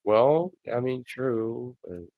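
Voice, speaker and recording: A man's voice: mid-range voice, lively intonation, slow, no echo, narrow, tinny audio. A man joking around irreverently in a casual film commentary. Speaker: commentary track participant.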